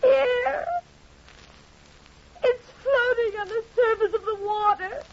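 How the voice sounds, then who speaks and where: A woman's voice: extremely high pitch, takes it slowly. A woman playing a distraught, crying parent in mourning in an old radio drama. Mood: sobbing grief. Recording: muffled old radio recording.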